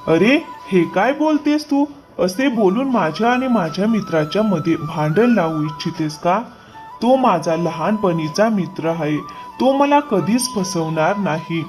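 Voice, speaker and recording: A man's voice: sits high, expressive, measured pace, a little mellow, faint music behind. A man playing a loyal, trusting farmer in a cartoon moral story, earnest and accommodating in manner. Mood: indignant. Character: trusting, hard-working, loyal male farmer.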